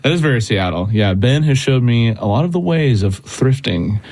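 A man's voice: medium pitch, lively intonation, moderate pace, a little mellow. A young man in his twenties from Southern California, hosting a church talk show in a friendly, upbeat way with frequent laughs. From Southern California, USA. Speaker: host, evangelist. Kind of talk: casual radio chat.

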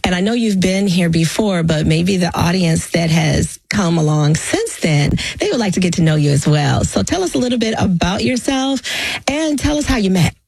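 A woman's voice: fairly low pitch, expressive, normal speed, creaky, a little mellow, breathy edge, no echo. A woman guest-hosting a radio interview. She opens with a scripted intro and then asks eager questions. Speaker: guest host.

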